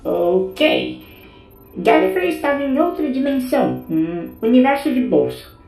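Female briefing officer, acted by a woman, formal, expository, animated, roomy sound under loud music. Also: fairly high pitch, unhurried, gravelly, exaggerated voice. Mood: grave, informative. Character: formal female informant briefing a Time Lord. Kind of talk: audio drama acting.

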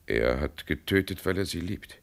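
An actor playing a troubled man who is pushed to kill his double, speaking in a hushed, deep, gravelly voice in a radio play. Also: expressive, normal speed.

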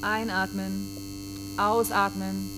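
An actress playing an impersonal hospital scanner attendant in a radio play, giving clipped breathing commands over humming, clicking machine noise. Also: female voice, medium pitch, flat delivery, slow, lightly acted. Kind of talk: radio drama, clipped repeated instructions.

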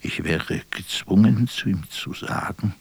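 An actor playing a brooding, defiant poet in a radio play, halting and dramatic, with a gravelly, breathy whisper. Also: male voice, low voice, no echo, full character voice. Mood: defiant, pleading. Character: male poet Fernando Pessoa, brooding, theatrical, defiant radio caller.

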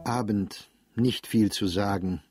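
A man reading a first-person diary as a scripted radio piece, in a measured, calm and detached way, recorded close in a studio. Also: fairly low pitch, loud music behind the voice.

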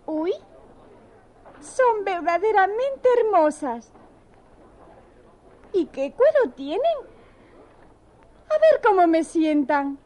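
A coquettish little lady mouse from a children's story, extremely high and squeaky in pitch. She exclaims theatrically with swooping intonation, fussy and pleased with herself, taking her time over each phrase. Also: big pitch swings, extremely slow, pauses often, dark tone, full character voice. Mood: pleased, vain. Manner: exclamatory, self-admiring, theatrical. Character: vain, coquettish young female mouse, cheerful and fussy. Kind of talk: children's audio story acting.

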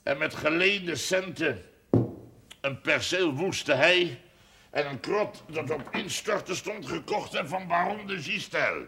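An actor playing a boastful, middle-aged rich village farmer in a television period drama, hearty, blunt and earthy. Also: male voice, a little high, slow. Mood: proud, boastful. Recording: old TV soundtrack with background noise.

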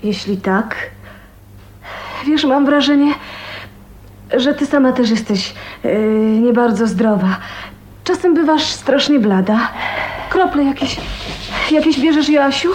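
Gentle ailing young woman. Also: high-pitched, animated, slow, pauses often, a little hiss or hum. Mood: frail, caring. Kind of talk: Polish radio drama acting.

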